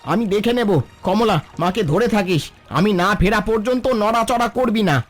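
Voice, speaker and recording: A man's voice: sits high, normal speed, faint music behind, natural voice. A man playing a brave, selfless village father and riverman in a cartoon, firm and urgent. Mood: urgent, determined. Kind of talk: cartoon dialogue.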